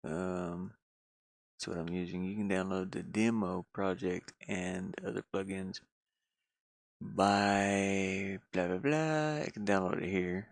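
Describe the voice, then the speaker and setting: A man talks off the cuff on a casual livestream, his voice full of creaky fry. He takes his time, muttering to himself and wandering between thoughts in folksy, colloquial phrasing. The sound is close and dry. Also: low-pitched, fairly even intonation, slow pace, dry recording. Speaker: livestreaming gamer and hobby game developer living in a van. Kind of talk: casual unscripted livestream chat. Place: inside a van.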